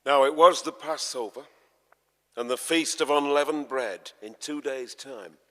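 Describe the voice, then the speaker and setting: A man narrating scripture dramatically in short, emphatic, measured phrases, as a storyteller at a live performance. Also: mid-range voice. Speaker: narrator, reading the part of the evangelist. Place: large church, live performance. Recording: long church reverb.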